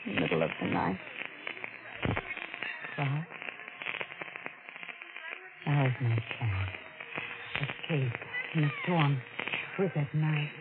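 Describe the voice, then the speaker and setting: An actress playing a troubled, frightened and defiant woman in a melodramatic, halting 1940s radio thriller style. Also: rumbling low, big pitch swings, full character voice. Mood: hushed dread. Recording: scratchy, tinny old radio recording, heavy noise.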